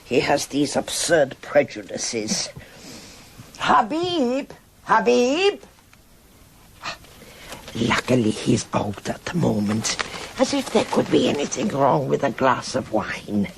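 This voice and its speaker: An actress playing an eccentric, blunt old countess with a German accent in a radio drama. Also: female voice, extremely low pitch, huge pitch swings, takes it slowly, vocal fry, slight background noise, heavily acted. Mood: conspiratorial, grumbling. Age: older. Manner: blunt, grumbling, rambling.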